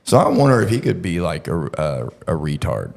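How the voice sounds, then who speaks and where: A man's voice: low voice, very animated, moderate pace, vocal fry, soft background music. A man chatting casually about true crime in a blunt, profane way.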